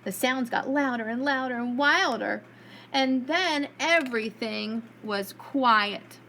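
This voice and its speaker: A woman reading a storybook aloud to preschool children in an expressive storyteller's style. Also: sits high, slow, slight background noise.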